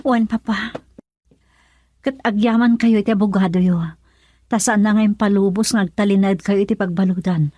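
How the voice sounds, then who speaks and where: A woman playing a soft-hearted, worried daughter in a radio drama, pleading and conciliatory. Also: mid-range voice, fairly even intonation, moderate pace, dry recording, lightly acted. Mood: subdued.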